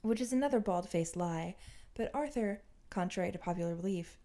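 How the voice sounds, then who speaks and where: A woman's voice: mid-range voice, lively intonation, brisk, mellow. A woman reading fan fiction aloud as scripted audiobook-style narration.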